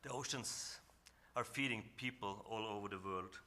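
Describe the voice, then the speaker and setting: Halting low-voiced Norwegian man. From northern Norway. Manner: halting, searching for words, calm and earnest. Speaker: panel speaker. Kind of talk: reflective presentation at a symposium.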